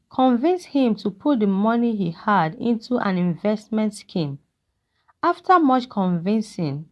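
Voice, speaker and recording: A woman voicing a kindly, regretful old man who talks in a measured, fatherly way in a narrated folktale. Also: medium pitch, muffled recording, lightly acted. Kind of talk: character dialogue in a narrated folktale.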